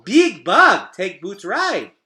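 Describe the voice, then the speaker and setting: A man voicing an excited, bossy and sweet toddler girl in a storybook, exclaiming in simple baby talk. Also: high-pitched, takes it slowly, echoey room, voice pushed up, full character voice. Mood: gleeful, eager.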